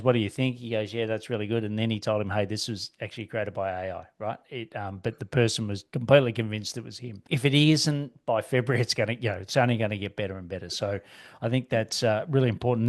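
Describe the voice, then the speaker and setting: A man with an Australian accent hosting a business podcast, chatty and rambling, with lots of fillers and restarts. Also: fairly low pitch. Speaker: podcast host. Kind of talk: casual interview chat.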